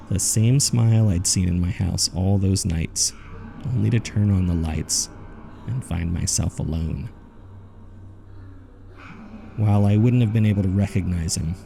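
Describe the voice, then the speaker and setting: A man playing a haunted survivor, narrating a horror audio drama in the first person with somber, measured suspense. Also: low voice, creaky, mellow.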